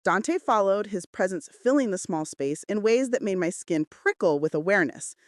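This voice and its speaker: A woman reading scripted first-person romance fiction in an expressive, dramatic narrating style. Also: mid-range voice, moderate pace, no echo, clean studio sound.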